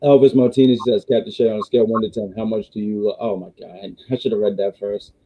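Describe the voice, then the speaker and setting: A man hosts a live wrestling review stream, talking loosely and often restarting his sentences. His voice is going hoarse, and the sound is dull and lo-fi. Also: lowish voice, steady tone, quick pace. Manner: rambling, restarts, reads viewer chats. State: losing his voice. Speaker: wrestling review show host.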